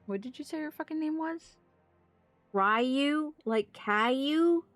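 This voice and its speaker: A woman's voice: high voice, expressive, slow, a bit bright, no room sound, soft background music. A woman livestreaming a game, casually reading dialogue aloud and commenting in a weary, blunt way.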